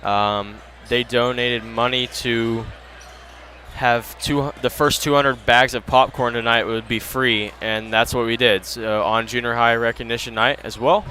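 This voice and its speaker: A man with a Midwestern American accent calling a high school basketball webcast, chatting casually and thanking sponsors before tipoff. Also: steady tone, unhurried, bright, forceful tone, a little hiss or hum. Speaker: sports webcast announcer. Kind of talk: casual pregame commentary.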